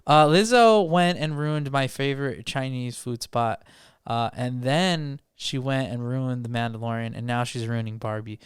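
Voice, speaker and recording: A man's voice: mid-range voice, measured pace, clean tone, no echo. A young man co-hosting a podcast from a home studio, chatting casually with playful mock outrage. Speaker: podcast co-host. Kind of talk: casual chat. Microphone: studio mic with headphones.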